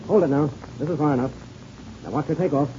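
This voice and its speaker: An actor playing a steady, decisive pilot mentor giving brisk, slangy instructions in a 1930s radio adventure serial. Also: male voice, on the high side, close to an everyday voice. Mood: brisk confidence. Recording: dull, noisy old radio recording.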